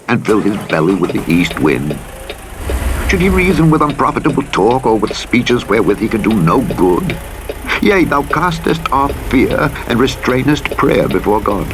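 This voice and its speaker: A man narrating the Bible as an audiobook, in a solemn, formal, measured and dramatic reading style. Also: lowish voice. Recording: playback under loud truck engine noise. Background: truck engine and vehicle noise from a driving game.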